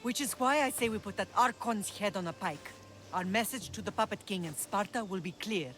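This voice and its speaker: Brief: a woman voicing a hard, scheming warrior mother, mid-pitched, over clear game music. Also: brisk, natural voice. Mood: forceful. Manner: forceful, scheming. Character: fierce, vengeful mother of the hero.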